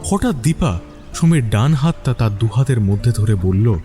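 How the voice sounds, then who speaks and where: A man's voice: mid-range voice, lively intonation, moderate pace, mellow, faint music behind. A man narrating a scripted mystery story in a dramatic storytelling style.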